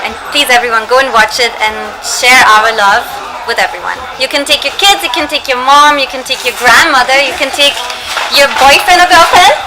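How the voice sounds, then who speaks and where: A woman's voice: high-pitched, fairly quick, a loud crowd. Chatty young woman, noisy press event. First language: not Hindi. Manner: chatty and playful, laughs often. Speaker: actress promoting a film. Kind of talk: press interview.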